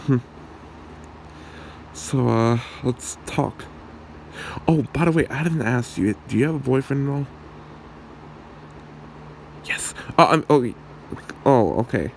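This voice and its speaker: A man acting a shy, lovestruck young man with a hidden secret in an ASMR roleplay, speaking haltingly and awkwardly in a low, gravelly voice. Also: darkish tone, slight background noise. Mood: embarrassed, nervous.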